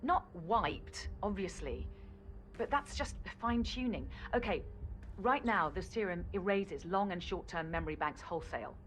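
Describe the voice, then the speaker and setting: Over faint music, an actress plays an eager female scientist in a game drama. Her delivery swings in pitch as she makes her case with earnest, slightly defensive conviction. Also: animated, normal speed, lightly acted. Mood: enthusiastic. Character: upbeat, idealistic scientist pitching her serum. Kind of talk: video game dramatic dialogue.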